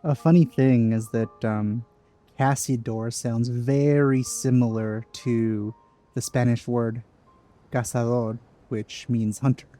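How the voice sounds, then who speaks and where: A man's voice: mid-range voice, fairly even intonation, takes it slowly, dark tone. A man giving casual commentary as he plays through a video game, with game music behind him. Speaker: gaming commentator. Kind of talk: casual let's play commentary.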